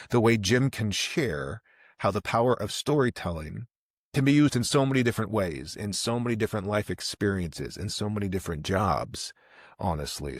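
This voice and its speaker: Low-voiced man hosting a podcast. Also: no room sound, clean studio sound. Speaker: podcast host and interviewer.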